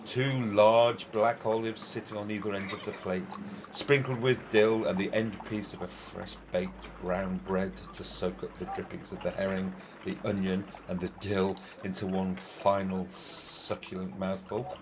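A man's voice: low-pitched, little pitch movement, unhurried, noisy background. A man acting in an amateur radio play as an argumentative, courteous admiral who relishes every word, theatrically. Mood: relishing, argumentative. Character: opinionated, food-loving old-fashioned military admiral, courteous and argumentative.

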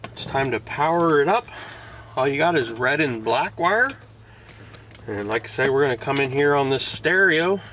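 Man, forties, medium pitch, casual how-to vlogger, camera mic in car, noisy background. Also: expressive, moderate pace, bright, forceful tone. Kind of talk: casual hands-on install tutorial. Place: inside a parked car outdoors, door open.

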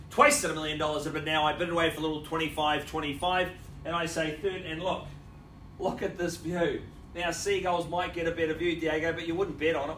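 A middle-aged New Zealand auctioneer runs a live property sale, mixing rhythmic bid calls with quick jokes. His voice sits a little high for a man. The sound is roomy and distant, picked up by a camera across a big indoor room with faint noise behind him. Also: bright, forceful tone. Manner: showy, joking patter with bid chants.

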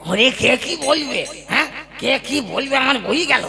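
Man acting a gravelly, wheedling old husband in puppet comedy. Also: very high voice, expressive, moderate pace, over music, full character voice. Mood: bold, boastful. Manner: coaxing, exaggerated sweet-talk with folksy exclamations. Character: wheedling ageing husband, scheming singing-troupe master. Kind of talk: comic folk puppet-play acting.

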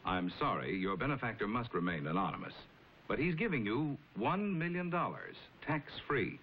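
An American actor in middle age playing a polite, formal secretary and narrator in a 1950s television drama. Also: male voice, mid-range voice, big pitch swings, normal speed, a little hiss or hum, close to an everyday voice. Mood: courteous. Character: courteous, composed male secretary of a reclusive tycoon. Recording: muffled 1950s television soundtrack with music.

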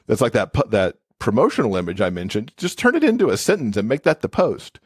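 Male interview guest, lowish pitch, conversational explainer with "you know" asides, dry sound. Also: wildly swooping pitch, quick pace, breathy edge, no echo. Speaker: podcast guest, accessibility expert and author.

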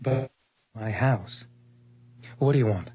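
A man's voice: mid-range voice, slow, mellow, husky, muffled recording. An actor playing an uneasy, earnest man in a radio drama, with an anxious, questioning manner. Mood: wary, confused. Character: uneasy, earnest man newly returned home. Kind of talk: naturalistic radio drama acting. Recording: noisy 1980s radio drama recording.

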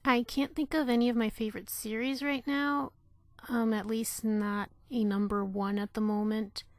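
A woman's voice: fairly high pitch, level tone, normal speed, no room sound. Woman listing favorites, dry close sound. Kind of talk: casual interview answer.

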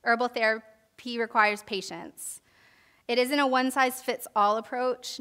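A woman's voice: fairly high pitch, pushed and bright. A young woman giving an informal herbalism lesson in a church hall, reading from notes and then demonstrating, with many fillers and restarts. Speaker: herbalism class presenter. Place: church hall stage, large indoor room.

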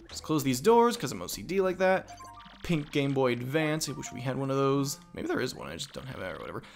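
A man's voice: medium pitch, sing-song, a little fast, vocal fry, faint music behind. Young man rambling over a game. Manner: rambling, self-mocking. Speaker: let's play host. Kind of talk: casual gameplay commentary.

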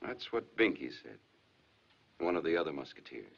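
Low, husky troubled husband, old film. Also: male voice, very animated, moderate pace, exaggerated voice. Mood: guarded. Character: troubled, hurt married father, reserved and courteous. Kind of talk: 1950s film drama acting. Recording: dull, lo-fi 1950s film soundtrack.

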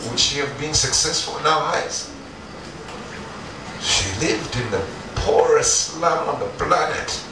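A middle-aged man, an entrepreneur, giving an earnest, emphatic stage talk with pauses and restarts on a headset mic. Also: a little high. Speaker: entrepreneur guest speaker. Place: event stage with audience. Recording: echoey room, distant, background noise.

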